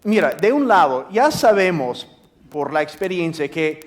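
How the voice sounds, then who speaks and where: A man, an English speaker, giving a seminar talk in a theater with halting speech, frequent restarts and fillers. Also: on the high side, big pitch swings. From United States. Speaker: guest speaker. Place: theater stage.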